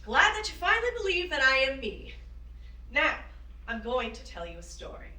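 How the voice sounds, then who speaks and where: A woman's voice: high voice, sing-song, normal speed, sharp tone, heavily acted. A woman playing a spirited, encouraging woman aviator in a staged play reading, with an earnest, emphatic manner. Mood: storytelling. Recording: roomy, distant microphone.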